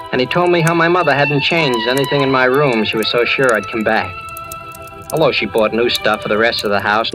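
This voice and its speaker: A man's voice: medium pitch, natural voice, poor audio. Man acting a hesitant boyish young radio-drama narrator over music. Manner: halting, stammering, boyish. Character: hesitant young man posing as a long-lost son. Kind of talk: 1940s-50s radio drama acting with first-person narration. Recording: old radio recording with music behind.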